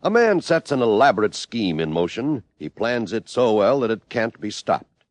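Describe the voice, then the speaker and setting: A man's voice: on the low side, very animated, normal speed, dry recording. A man with an American accent announcing in the dramatic promotional style of 1950s radio.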